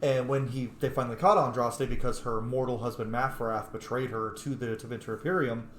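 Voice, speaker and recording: A man streams a video game with casual, enthusiastic commentary. His medium-pitched voice is informal and sweary, recorded in an echoey space with faint noise behind it. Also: steady tone, brisk, fairly bright tone. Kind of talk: casual playthrough commentary with in-character asides.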